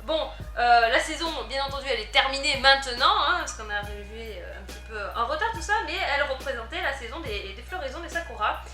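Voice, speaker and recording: A woman chatting through an unboxing vlog, easily delighted and quick to giggle. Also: sits high, expressive, bright, forceful tone, reverberant, faint music behind. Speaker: unboxing vlogger. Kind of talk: casual product unboxing chat.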